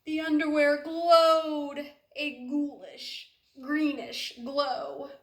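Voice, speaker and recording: A woman reads a storybook aloud to children in a roomy, echoing space, a little away from the mic. Her delivery is expressive and unhurried, with dramatic stretches and questions put to her young listeners. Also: high voice, recorded from a distance, a little hiss or hum. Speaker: storybook reader. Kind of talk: children's picture-book read-aloud with asides to young listeners.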